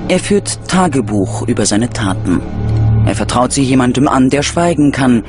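A man narrating a scripted true-crime documentary in a measured, dramatic way. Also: medium pitch, expressive, music in the background.

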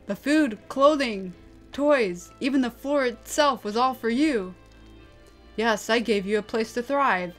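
A woman's voice: fairly high pitch, unhurried, soft background music, lightly acted. A woman voicing a mad, gloating male villain in a mocking, melodramatic, anime-style performance. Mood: possessive. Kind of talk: anime-style dramatic character acting.

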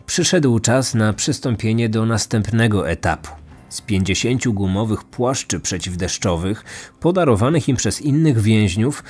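A man's voice: lowish voice, darkish tone. A man narrating a scripted true crime podcast as its host.

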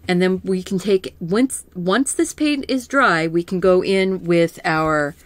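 Slow, soothing woman painting teacher. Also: on the low side, no room sound. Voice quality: calm, soothing. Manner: slow, gentle, thinking aloud with small laughs. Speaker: art teacher. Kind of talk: live painting lesson.

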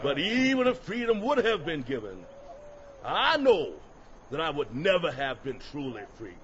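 Man acting a fervent high-voiced orator, wide-swinging pitch. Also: huge pitch swings, a crowd in the background. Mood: fervent, solemn. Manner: oratorical, deliberate, emphatic. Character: fervent escaped slave orator rousing a crowd. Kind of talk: audio drama acting. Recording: muffled, slightly noisy audio drama recording.

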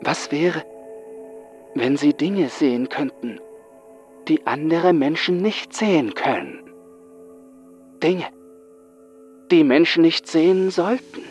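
A man acts out a young male psychiatric patient in a horror story. He is bitter, frightened and haunted, speaking with intense dramatic pauses over music. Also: a little high, animated, a little mellow, pitched above the performer's own voice. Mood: haunted, fearful. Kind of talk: horror audiobook acting.

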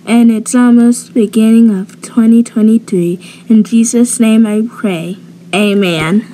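A woman's voice: on the high side, steady tone, a little slow, warm tone, slight background noise, low-quality recording. A woman acting out lines in a homemade cartoon roleplay.

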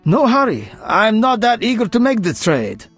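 An actor playing a haughty, rich, fat merchant in a fantasy game, with a theatrical delivery. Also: male voice, sits high, wildly swooping pitch, normal speed, a bit bright, husky, soft background music, heavily acted. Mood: smug, bargaining.

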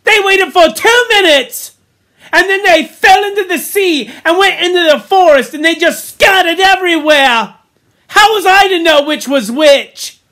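An indignant young schoolboy, acted out by a woman reader, very high and loud, protesting in rough grammar. He whines and scolds with panic close to the surface, in a sharp, forceful tone made for a storybook. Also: a little slow. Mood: aggrieved protesting. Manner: ungrammatical, shrill protesting tirade.

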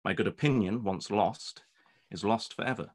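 A low-voiced young gentleman, played by an actor in a period radio play. He is proud and aloof, speaking formally and with measured care. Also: natural voice. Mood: proud, guarded. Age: young adult. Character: proud, reserved, haughty young English gentleman. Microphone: video call.

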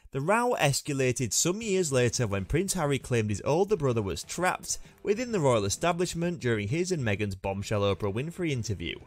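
A man reading scripted news narration in a formal, read-aloud manner. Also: medium pitch. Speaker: narrator of a royal news channel. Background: background music.

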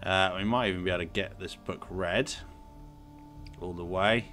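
A man's voice: sits low, normal speed, a bit bright, soft background music. A man with a sniffly cold, casually commenting on his gameplay and thinking aloud with lots of fillers. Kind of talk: casual let's-play commentary.

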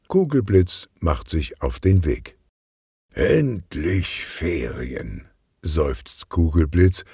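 A man narrating a children's detective audiobook in an expressive storytelling style. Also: low voice, husky, no room sound, studio quality.

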